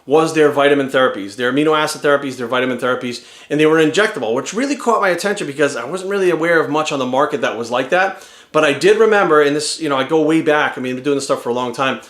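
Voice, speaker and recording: A man in his forties giving an energetic, rambling testimonial to camera. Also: on the high side, racing, reverberant. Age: 40s.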